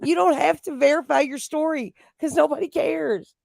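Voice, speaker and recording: A woman's voice: sits high, moderate pace, vocal fry, a little mellow, no room sound. A woman co-hosting a live stream, chatting loosely and swearing freely. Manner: laughs a lot, loose and profane. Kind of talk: casual live-stream chat.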